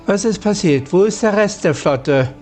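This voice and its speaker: A woman's voice: mid-range voice, animated, moderate pace, warm tone, soft background music. A woman role-playing dialogue over a video game in a terse, formal manner.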